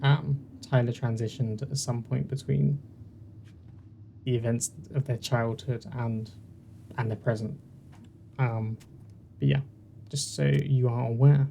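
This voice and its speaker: A southern English man chats through casual game commentary in a mellow, creaky voice. He is self-deprecating, recorded on a poor mic with faint noise. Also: medium pitch, steady tone, measured pace, long pauses. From southern England. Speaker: host of a gaming series. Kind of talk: casual let's play commentary. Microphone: poor-quality mic with uneven levels.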